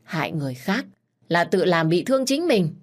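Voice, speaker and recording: A woman's voice: on the low side, very animated, normal speed. Woman doing a stern, scolding landowner man. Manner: curt, scolding. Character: stern, short-tempered rich landowner man.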